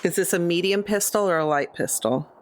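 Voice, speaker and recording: A woman's voice: on the low side, a little fast, a little hiss or hum. A woman playing a tabletop game, speaking casually in brief, matter-of-fact statements.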